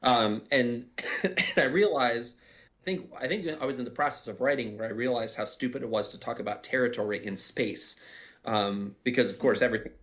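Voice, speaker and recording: A man's voice: medium pitch, fairly quick, a bit bright. A man giving casual behind-the-scenes commentary, explaining things in a reflective, self-deprecating way. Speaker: audio drama writer and voice actor.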